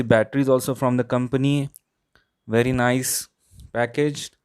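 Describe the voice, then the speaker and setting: A man's voice: medium pitch, clear, resonant tone. A man giving a casual unboxing and product review, explaining plainly and repeating his points. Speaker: tech reviewer, host.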